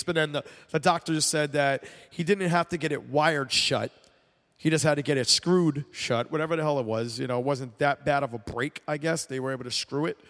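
A man hosting a casual sports talk show, sounding congested, rambling and animated as he mocks sports fans. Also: on the high side, long reverb tail. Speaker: podcast host. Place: air-conditioned room at home. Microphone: headphones with mic.

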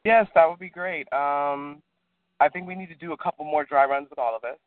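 A husky man talks slowly and earnestly as a co-host. His voice comes through a tinny, phone-like line with a dry, close sound. His pitch sits a little high for a man. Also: no echo. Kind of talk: casual call chat. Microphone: call audio.

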